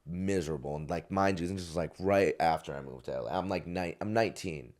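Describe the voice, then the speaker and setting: A young man in his twenties with a very deep, low voice talks on a podcast. He tells a personal story in a rambling, casual way, circling back and restarting his sentences. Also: brisk, a little mellow. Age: 20s. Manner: rambling, many restarts and fillers. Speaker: podcast guest. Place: podcast studio.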